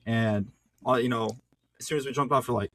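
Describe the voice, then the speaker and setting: A man's voice: medium pitch, fairly quick, fairly bright tone. A man in his late teens chatting casually on a podcast, telling anecdotes in loose, slangy phrasing. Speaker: podcast co-host. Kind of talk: casual chat, personal anecdotes.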